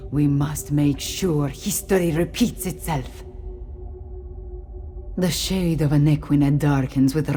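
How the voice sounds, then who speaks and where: A woman playing a solemn mystical priestess in a fantasy video game, speaking in a measured, grave, formal way. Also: deep voice, warm tone. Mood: brooding.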